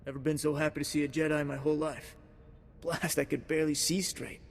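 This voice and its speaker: Drugged out military officer, highish creaky voice, dazed, reverby. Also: male voice, little pitch movement, brisk. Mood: dazed, relieved. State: groggy, dazed. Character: drugged, rescued male fleet lieutenant, dazed and grateful. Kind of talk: video game cutscene acting.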